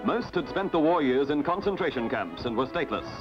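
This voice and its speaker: A man's voice: medium pitch, animated, fairly quick, dull audio. A man reading scripted newsreel narration in a formal, declamatory way over music. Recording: band-limited old newsreel soundtrack.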